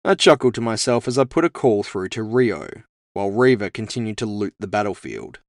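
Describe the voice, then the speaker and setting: A man's voice: fairly low pitch, very animated, a little fast, dry recording, studio quality. A man giving a scripted first-person narration of an audio fiction story as its author. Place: dry, close recording space.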